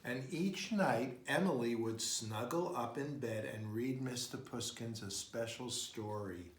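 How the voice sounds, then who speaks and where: Slow-paced man reading a storybook, roomy echo. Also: mid-range voice, slight background noise. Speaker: storybook reader. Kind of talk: expressive read-aloud children's storytelling. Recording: roomy, echoing sound.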